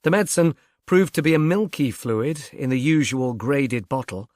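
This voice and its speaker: Guy reading a novel out loud, medium pitch, very little room sound. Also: lively intonation, normal speed, no room sound, studio quality. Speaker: audiobook narrator. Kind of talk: scripted novel narration with character voices.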